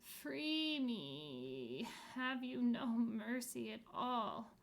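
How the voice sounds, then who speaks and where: A woman's voice: a little high, animated, drawn-out words, vocal fry, full character voice. A woman voicing a wide, moaning spirit face on a magic wheel that pleads to be freed, in a storybook reading.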